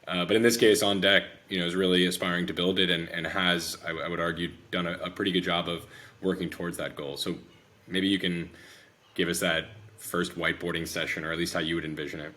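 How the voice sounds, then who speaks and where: A man's voice: low voice, steady tone, brisk, bright, forceful tone. An American man hosting a conversational interview, asking thoughtful, long-winded questions. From United States. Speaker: podcast host and interviewer.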